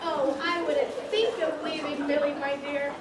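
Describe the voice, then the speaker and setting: A woman's voice: sits high, little pitch movement, measured pace. A woman playing a nosy, arch society gossip with sugary politeness in an amateur stage comedy. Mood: coy amusement. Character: nosy, gleefully coy society lady gossip. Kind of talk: amateur one-act stage comedy acting. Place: stage in a large echoey room. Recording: echoey stage, noisy, far-off mic.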